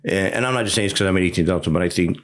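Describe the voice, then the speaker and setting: Middle-aged man, deep low voice, relaxed candid interview guest, rambling asides. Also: racing. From United States. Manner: candid storyteller, frequent restarts and asides. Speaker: podcast guest, veteran. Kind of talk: casual conversational interview.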